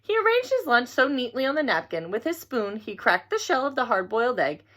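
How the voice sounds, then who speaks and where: Animated, bright-voiced woman reading a children's story. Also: a little high, fairly quick. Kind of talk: expressive read-aloud of a picture book.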